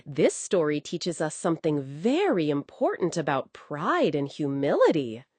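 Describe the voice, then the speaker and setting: A woman narrates a children's bedtime story in a coddling, expressive manner. Her pace is slow and her pitch rises and dips dramatically. The sound is dry and close. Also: husky, dry recording.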